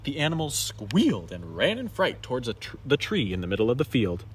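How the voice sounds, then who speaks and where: A man's voice: medium pitch, huge pitch swings, fairly quick, a little hiss or hum. A man reading a children's story aloud with dramatic, emphatic expression. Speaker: storybook reader.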